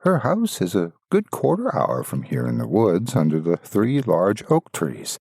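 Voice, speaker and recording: A man's voice: sits low, huge pitch swings, unhurried, a little mellow, breathy edge, dry recording, studio quality, exaggerated voice. A man voicing an innocent, trusting little girl in a fairytale, earnest and wide-eyed. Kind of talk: fairytale storybook reading.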